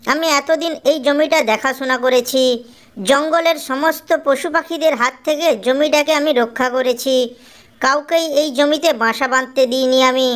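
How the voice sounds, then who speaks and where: A woman's voice: high voice, steady tone, moderate pace, fairly bright tone. A woman voicing the protective, devoted ghost of a dead farmer husband in a cartoon story, earnest and solemn. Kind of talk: Bengali cartoon story acting.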